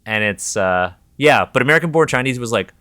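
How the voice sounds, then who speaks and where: A man's voice: mid-range voice, expressive, a little fast, pushed and bright, no room sound, clean studio sound. A man with a Canadian accent hosting a podcast, chatting casually in a candid, informal and reflective way. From Toronto, Canada. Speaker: podcast host.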